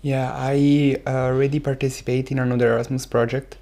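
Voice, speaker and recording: A man's voice: medium pitch, level tone, a little fast, vocal fry, mellow, slight background noise. A seventeen-year-old man with an Italian accent, answering casually in an interview and hesitantly searching for words. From Italy. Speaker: exchange student guest.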